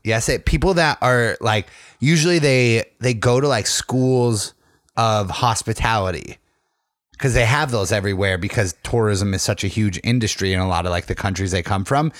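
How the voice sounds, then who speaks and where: A man's voice: fairly low pitch, moderate pace, a bit bright, dry recording, studio quality. A young American man chatting casually as a podcast co-host, with a teasing, sweary, storytelling manner. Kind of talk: casual chat.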